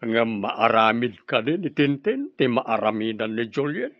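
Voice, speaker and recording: A man's voice: medium pitch, very animated, unhurried, no echo, dull audio. An actor playing an opinionated man in a family quarrel in an Ilocano radio drama, argumentative, with an Ilocano accent. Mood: reproving.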